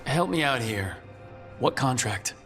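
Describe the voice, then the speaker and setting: A man's voice: mid-range voice, lively intonation, measured pace, fairly bright tone, soft background music. A man voicing a supportive, inquisitive hero in a video game, with a direct, questioning manner. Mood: probing.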